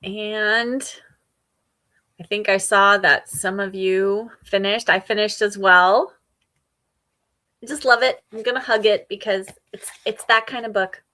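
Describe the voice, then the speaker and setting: Bright-voiced woman hosting an enthusiastic livestream chat. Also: medium pitch, fairly even intonation, unhurried. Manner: gushing, enthusiastic. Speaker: livestream host of a book readalong. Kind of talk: casual livestream chat, reading and answering viewer comments.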